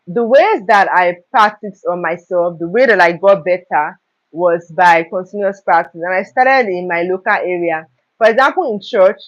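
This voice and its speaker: A young woman hosting a live stream, talking earnestly about self-improvement and often checking in with "okay?" and "right?". Her throat is slightly hoarse. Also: mid-range voice. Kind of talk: motivational talk on a live stream. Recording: dull lo-fi live stream audio.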